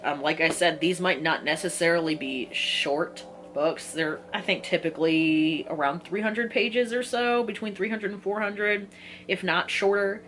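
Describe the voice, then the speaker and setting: A woman's voice: on the low side, measured pace, a bit bright, a little hiss or hum. A woman in her twenties talking casually and informally to viewers as a book vlogger, giggly and often interrupting herself. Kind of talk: casual chatty book list talk to viewers.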